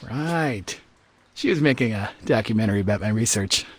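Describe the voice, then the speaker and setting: A man voicing an excitable, fussy scientist in a cartoon game, expressive and wordy, with a husky, creaky voice. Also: lowish voice, moderate pace. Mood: curious, amused. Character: excitable, fussy scientist, eager and easily appalled. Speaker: voice actor in a game cutscene. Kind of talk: animated cartoon game acting.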